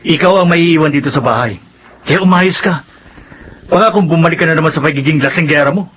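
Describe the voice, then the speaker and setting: A man's voice: fairly high pitch, a little fast, a bit bright, lightly acted. A man with a Filipino accent playing a stern, protective young eldest brother in a radio drama. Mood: scolding. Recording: dull sound, faint background noise.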